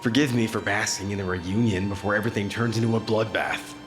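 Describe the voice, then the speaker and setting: An actor playing a weary, desperate occult detective in a dark noir audio drama, with a wry, dramatic delivery. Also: male voice, fairly low pitch, steady tone, fast, lightly acted. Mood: wary, defiant. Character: weary, desperate male occult detective narrating his fight. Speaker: lead actor and narrator. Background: music.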